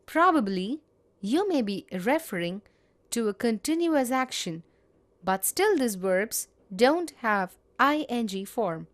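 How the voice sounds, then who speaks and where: A woman teaching grammar, reading example sentences in a measured, deliberate way. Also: fairly high pitch, very animated. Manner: measured, spells out each example. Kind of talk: slow, deliberate grammar lesson.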